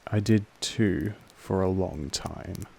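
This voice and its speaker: A man's voice: low-pitched, slow pace, vocal fry, warm tone, husky, heavily acted. A man playing a possessive, aloof vampire master in an audio drama, speaking formally and condescendingly in an intimate monologue to the listener. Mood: reflective, guarded. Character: possessive, aloof male vampire master, patronizing yet fond. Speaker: voice actor in a roleplay audio drama.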